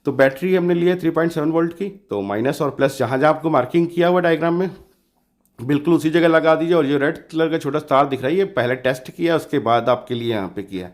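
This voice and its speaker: Higher-pitched man giving a casual DIY tutorial. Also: fast. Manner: informal, colloquial, repeats himself for emphasis. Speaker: DIY electronics channel host. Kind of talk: casual hands-on tutorial narration.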